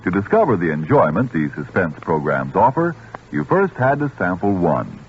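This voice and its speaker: A man's voice: deep voice, sing-song, moderate pace, slight background noise. An American man reading a scripted 1940s radio advertisement as the sponsor's announcer, with polished, formal salesmanship. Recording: husky-sounding muffled 1940s radio recording.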